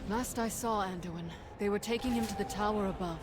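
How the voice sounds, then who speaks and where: A woman's voice: medium pitch, a little fast, lightly acted. A woman playing a grave, resolute mage leader in a fantasy game, earnest and theatrical. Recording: noisy game ambience behind.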